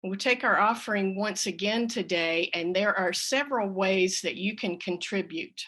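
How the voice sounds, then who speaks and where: A woman addresses a congregation with church announcements and an offering appeal. Her voice sits at a middle pitch, and she phrases things deliberately, with open appreciation. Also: fairly bright tone.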